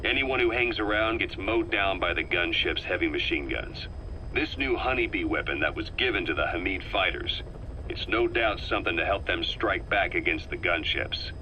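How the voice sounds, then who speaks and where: Deep, intense military briefer. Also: male voice, animated, normal speed, bright, forceful tone, a little hiss or hum, poor audio. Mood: grave, deliberate. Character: grim, seasoned male soldier briefing on a war. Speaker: military briefing narrator in a game. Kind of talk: scripted briefing monologue in a video game cassette tape.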